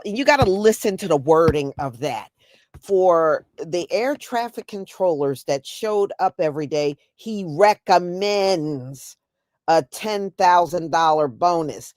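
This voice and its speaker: A woman's voice: on the low side, darkish tone, no room sound, clean studio sound. A woman with an American accent giving casual, emphatic, folksy opinion commentary as a live stream host.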